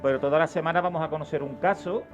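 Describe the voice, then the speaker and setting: A man with an accent from Spain hosting a casual radio interview, informal and halting as he rephrases his questions. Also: a little high, steady tone, highs cut off, soft background music. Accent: Spanish, from Spain. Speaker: radio host.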